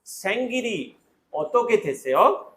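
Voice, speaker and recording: A man's voice: sits high, big pitch swings, slow, a bit bright. A man acting as a Korean exam interviewer, giving short instructional commands and set questions.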